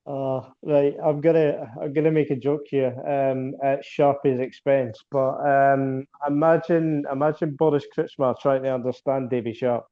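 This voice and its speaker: A man's voice: mid-range voice, fairly even intonation, unhurried, hardly any pauses, highs cut off. A Scottish man hosting a darts podcast interview, asking questions in a stumbling, casual way with repeated words. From Scotland. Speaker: podcast host.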